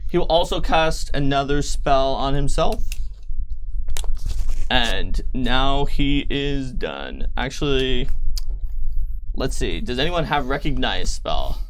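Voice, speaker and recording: A man's voice: medium pitch, animated, takes it slowly, long pauses, a bit bright. A man acting as game master, casually narrating the game and bantering with players on a live stream. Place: group gaming session, live stream. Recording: noisy livestream audio with clicks.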